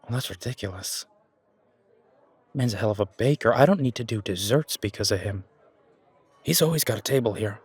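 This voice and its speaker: A man's voice: fairly low pitch, expressive, moderate pace, pauses often, slightly rough, dry recording, pitched below the performer's own voice. A man playing a down-to-earth, blunt human restaurant owner in an audio roleplay, plain-spoken and understated. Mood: determined. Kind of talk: fantasy audio roleplay acting.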